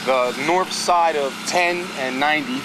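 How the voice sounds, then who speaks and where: A man's voice: fairly high pitch, measured pace, background noise. A man who works on a cleanup crew, frankly explaining his complaints and the flooding at an outdoor work site. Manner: frank, explaining, points at a map. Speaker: cleanup worker. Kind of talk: casual street chat.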